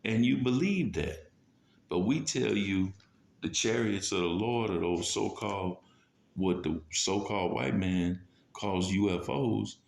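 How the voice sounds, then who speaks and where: A man's voice: fairly low pitch, unhurried, creaky, slight background noise. A man preaching scripture in an informal, blunt and scornful way, with profanity and mocking rants, recorded in a roomy space. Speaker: street-style religious preacher. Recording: roomy, echoing sound.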